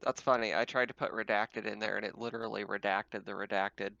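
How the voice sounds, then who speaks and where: A man acting as game master in a casual tabletop game, narrating haltingly over a slightly dull recording. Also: lowish voice, steady tone, vocal fry, crowd noise.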